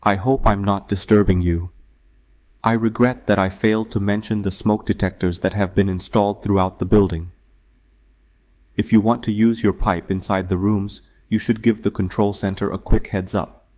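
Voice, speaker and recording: Man playing a polite, low-voiced formal android. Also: steady tone, normal speed, a little mellow, natural voice. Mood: politely apologetic. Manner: courteous, formal, procedural. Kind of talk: radio play dialogue.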